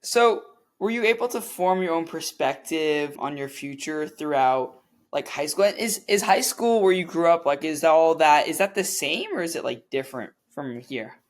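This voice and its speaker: A man's voice: fairly high pitch, animated, normal speed. A man hosts a career podcast, his slightly raised voice winding through questions. He restarts and rephrases as he goes, stacking one question on another. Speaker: podcast host. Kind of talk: interviewing.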